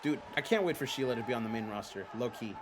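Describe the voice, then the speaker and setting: A man whose first language is Spanish, hosting a live stream and commentating a simulated wrestling game in an excitable, chatty way. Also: mid-range voice, fast talker, crowd noise. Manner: excitable, chatty, reads viewer comments aloud. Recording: noisy background.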